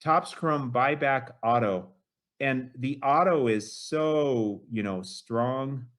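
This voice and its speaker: A man in his late fifties with a mid-pitched, ordinary voice talks eagerly as a guest. He rambles, piles up fillers and restarts his sentences as he gets excited. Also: slow pace. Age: 50s. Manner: enthusiastic, rambling, many fillers like "you know". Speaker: guest collector. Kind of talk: casual interview chat.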